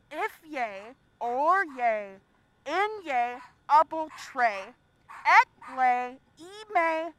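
A woman's voice: high voice, animated, extremely slow, fairly bright tone, full character voice. A woman playing a chatty, quirky next-door neighbor leaving a fussy, conspiratorial phone message in a comic audio drama. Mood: eager, concerned. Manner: fussy, conspiratorial, throat-clearing.

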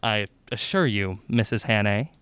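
A man's voice: fairly low pitch, animated, moderate pace, fairly bright tone, smooth, no echo. An actor playing a playful, flirty man on a road trip, with a teasing, arch manner in an audio drama.

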